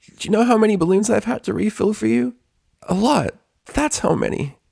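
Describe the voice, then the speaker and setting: A man putting on a squealing, high-pitched bubbly girl pony. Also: fairly quick, creaky, slightly rough, exaggerated voice. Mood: cheery, distraught. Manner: squealing, excitable. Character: bubbly, tearful young female pony, squealing.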